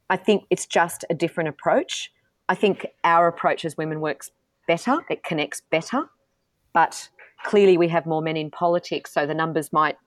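A woman's voice: low voice, no room sound. A middle-aged woman, a politician being interviewed, who talks frankly and with self-assurance, often restarting her sentences. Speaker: politician and guest. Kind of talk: candid interview answers.

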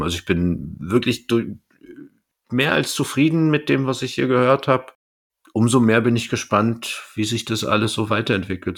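A man with a slightly low voice speaks as a podcast co-host. His delivery is casual and conversational, like someone reviewing something with a friend. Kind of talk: casual chat reviewing an audio drama.

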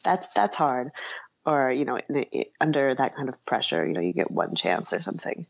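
Female interview guest, low gravelly fry, hesitant and reflective, slightly dull lo-fi recording. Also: very animated, moderate pace. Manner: hesitant, self-effacing, frequent hedging. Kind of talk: casual reflective conversation.

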